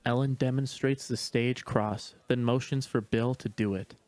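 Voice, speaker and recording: A man's voice: mid-range voice, fairly even intonation, normal speed, darkish tone, no echo. A man narrating a screenplay, reading the stage directions in a matter-of-fact way. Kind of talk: scripted narration.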